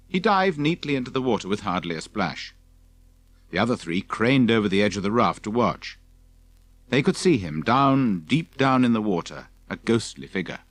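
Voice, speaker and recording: A man's voice: medium pitch, dry recording. A man reading scripted narration from a children's adventure story as an audiobook narrator.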